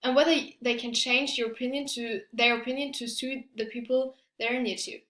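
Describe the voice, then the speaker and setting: Student presenter, young woman, higher voice, halting delivery full of restarts, roomy echo. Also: fairly even intonation, fairly bright tone. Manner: hesitant, many restarts and fillers, non-native English phrasing. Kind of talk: class presentation. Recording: echoey room.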